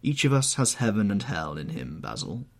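A man voicing a bitter, troubled young gentleman, brooding and theatrical, in an audiobook story. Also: fairly low pitch, big pitch swings, normal speed, creaky, exaggerated voice. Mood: bitter, despairing.

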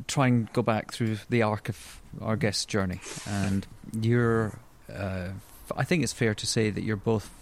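A man hosting a podcast interview, asking questions in a measured, polite way. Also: on the low side, vocal fry, slight background noise. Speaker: podcast host.

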